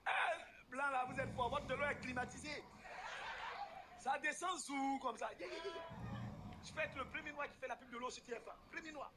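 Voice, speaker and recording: A man doing animated, exclamatory stand-up comedy, teasing a live audience from the stage. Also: extremely high pitch, normal speed. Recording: noisy live stage recording with ambience.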